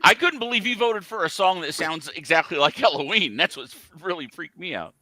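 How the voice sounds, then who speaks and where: A man on a music podcast panel with a bright, animated voice in a dry recording. He speaks casually and bluntly, with a contrarian streak. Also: sits high, fairly quick, no room sound. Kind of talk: casual opinionated music discussion.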